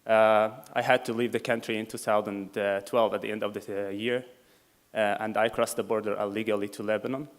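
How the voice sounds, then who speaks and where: Syrian-accented man speaking in measured steps. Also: lowish voice, flat delivery. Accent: Syrian Arabic. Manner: measured, with many filler pauses. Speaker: refugee speaker at a meeting. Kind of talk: prepared public talk.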